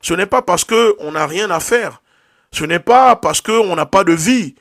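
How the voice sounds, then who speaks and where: Impassioned man, high voice. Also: animated, a little slow, fairly bright tone, no room sound, studio quality. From Togo. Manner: impassioned, repeats words when searching. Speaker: activist. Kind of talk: political monologue.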